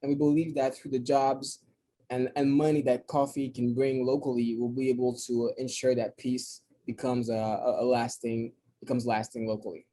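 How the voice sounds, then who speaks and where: A man's voice: medium pitch, little pitch movement, normal speed, warm tone. A man in his thirties, from DR Congo, explaining his coffee business in a casual interview, thoughtful and prone to restarts. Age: 30s. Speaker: coffee importer guest. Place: New York City.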